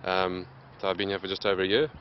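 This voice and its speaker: A man in his late twenties, answering interview questions in a plain, steady way with many pauses. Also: low-pitched, highs cut off, slight background noise. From Zimbabwe. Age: late 20s. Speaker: interviewed athlete.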